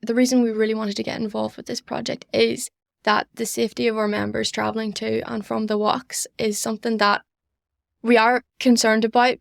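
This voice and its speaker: A woman's voice: on the high side, creaky, dry recording, studio quality. A young woman with a Northern Irish accent giving earnest, enthusiastic answers as an interview guest. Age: young adult. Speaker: student society member, podcast guest.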